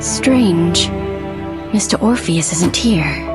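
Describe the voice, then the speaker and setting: An actress playing a wary, inquisitive young journalist, pensive and questioning, in a video game cutscene. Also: female voice, fairly low pitch, animated, drawn-out words, loud music behind the voice. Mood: curious, secretive.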